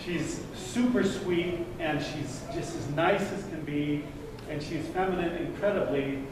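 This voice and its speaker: An older man in the audience, speaking earnestly and haltingly with many restarts and repeated words. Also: fairly high pitch. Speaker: audience member. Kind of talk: audience question, rambling. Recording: distant, echoey room with noise.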